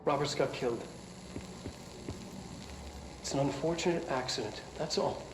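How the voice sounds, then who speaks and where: An actor playing a stressed, defensive research scientist in a video game, talking haltingly and repeating himself in a rambling way. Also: male voice, mid-range voice, lightly acted. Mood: defensive, pleading. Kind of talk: video game cutscene acting, recorded monologue. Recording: echoey room, loud background noise.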